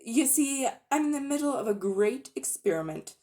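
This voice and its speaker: A woman's voice: sits high, expressive, moderate pace, dark tone, pitched above the performer's own voice. A woman voicing a scheming, falsely friendly old uncle who is a magician, in a storybook reading. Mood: sly.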